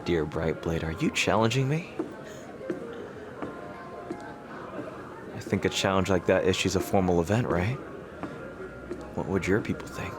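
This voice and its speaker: A man's voice: sits low, expressive, brisk. A man playing a lovestruck royal rival in a romantic audio roleplay, playful and yearning, with tender, hesitant delivery. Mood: playful challenge. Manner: teasing, hesitant, tender, formal-leaning phrasing. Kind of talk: romantic audio roleplay, intimate ASMR acting. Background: music.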